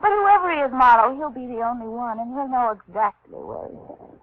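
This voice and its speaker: An actress playing a cool, flirtatious, knowing femme fatale in 1940s radio noir style. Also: female voice, fairly high pitch, animated, brisk, dry recording. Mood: teasing, resolute. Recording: thin, phone-like old radio broadcast.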